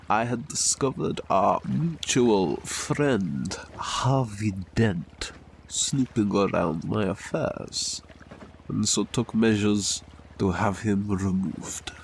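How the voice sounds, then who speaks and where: An actor in an audio drama playing a pretentious, theatrical crime boss who tells tall tales in grandiose, formal language. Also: male voice, fairly low pitch, vocal fry, slight background noise, heavily acted. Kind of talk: scripted audio drama acting.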